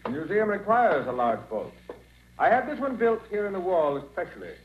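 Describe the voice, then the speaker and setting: An actor playing a formal, fussy museum doctor in a 1940s radio drama, speaking precisely and politely. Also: male voice, sits high, sing-song, a little fast. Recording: muffled, faintly noisy 1940s radio recording.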